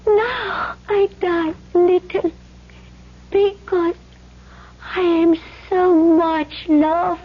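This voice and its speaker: An actress playing a devoted young South Seas island wife in a 1970s radio drama, speaking earnest, broken pidgin English in short phrases. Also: female voice, extremely high pitch, steady tone, extremely slow, heavily acted. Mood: tearful, loving. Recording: dull vintage radio recording.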